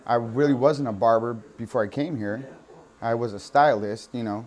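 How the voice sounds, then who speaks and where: A man's voice: medium pitch, normal speed, a little mellow. An American man in his forties talking conversationally in a casual documentary interview, often adding "you know". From San Diego, California, United States. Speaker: barber. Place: inside a barbershop. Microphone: lapel mic.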